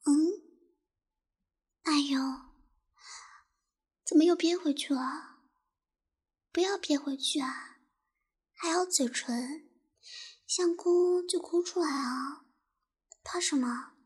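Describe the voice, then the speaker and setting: Young woman as a taunting cruel tormentor, high bright voice, drawn-out slow delivery, mocking whispers. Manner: teasing, mocking, drifts into whispers; laughs softly between taunts. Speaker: voice actor in audio roleplay. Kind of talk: intimate audio roleplay.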